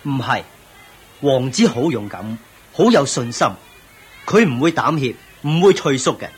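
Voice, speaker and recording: Casting: male actor as loyal court combat teacher, very husky, lively intonation, formal and deferential, Hong Kong Cantonese radio drama. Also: mid-range voice, unhurried, faint music behind, exaggerated voice. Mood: earnest, firm. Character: loyal, respectful royal martial arts master and courtier.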